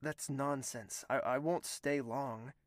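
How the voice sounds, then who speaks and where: A man's voice: mid-range voice, steady tone, slow pace, no echo, natural voice. An actor in an audio drama playing an anxious, lovesick nobleman, hesitant and brooding as he talks and narrates. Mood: tender, worried. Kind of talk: audio drama dialogue and first-person narration.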